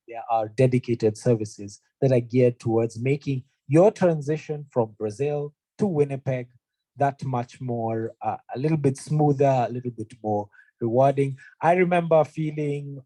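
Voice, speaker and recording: A man's voice: mid-range voice, dark tone, no room sound, rough recording. A young man from Kenya giving an earnest, conversational presentation to prospective students, with frequent fillers. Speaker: university representative. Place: room presentation, also streamed online.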